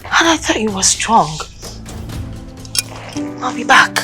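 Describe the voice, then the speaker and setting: A woman's voice: a little high, sing-song, slow pace, bright, forceful tone, soft background music, full character voice. A woman voicing the stern mother of grown sons, who scolds and soothes them. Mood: soothing, stern.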